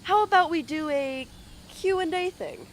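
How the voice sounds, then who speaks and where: A woman's voice: extremely high pitch, measured pace. A woman playing a persistent film crew director in an amateur radio drama, coaxing and encouraging. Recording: faint background ambience noise.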